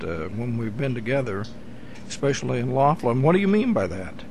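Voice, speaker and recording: A male interviewer asks questions in a halting way, restarting his sentences as he goes. His voice is of middle pitch, with a faint hiss in the background. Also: sing-song, a little mellow. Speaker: interview host.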